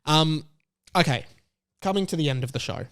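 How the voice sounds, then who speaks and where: A man's voice: on the high side, moderate pace, fairly bright tone, clear, resonant tone. A man hosting a solo podcast, giving conversational commentary in an emphatic, self-assured, opinionated way. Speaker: podcast host.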